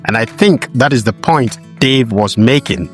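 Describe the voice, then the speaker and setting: A man, the host, reading a scripted narration for a travel video in a steady, measured way. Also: mid-range voice, soft background music.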